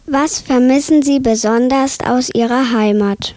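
A woman's voice: sits high, unhurried, a crowd in the background. A woman interviewer asking brief, polite, formal questions.

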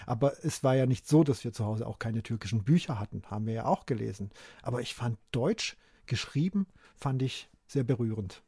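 A man raised in Germany, a native Turkish speaker, answering as an author in a conversational interview with animated, emphatic explanations. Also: mid-range voice, brisk, husky, no echo, clean studio sound.

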